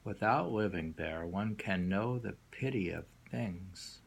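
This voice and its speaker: A man reading poetry aloud and discussing it informally, in a musing, halting way that lingers and repeats lines. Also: low-pitched, vocal fry, husky. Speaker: poetry reader and host.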